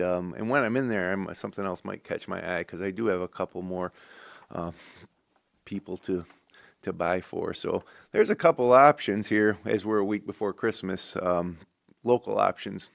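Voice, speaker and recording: A middle-aged man hosting a local studio talk show, relaxed and conversational with plenty of ums and uhs. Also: low-pitched, vocal fry, no echo. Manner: relaxed, conversational with many fillers. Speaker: host.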